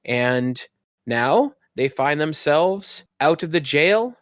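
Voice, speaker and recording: A man's voice: on the high side, animated, slow pace, no room sound, clean studio sound. A man hosting as game master, narrating a tabletop roleplaying game descriptively with wry asides. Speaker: game master and podcast host.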